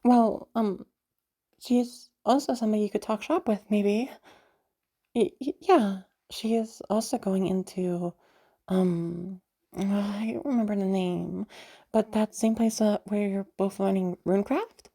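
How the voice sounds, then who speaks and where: A woman's voice: mid-range voice, steady tone, measured pace, mellow. A woman voicing a sweet, bubbly, nervous young woman chatting in a roleplay audio drama, hesitant, giggly and stammering. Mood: hopeful, hesitant. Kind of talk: roleplay audio drama, one side of a phone chat.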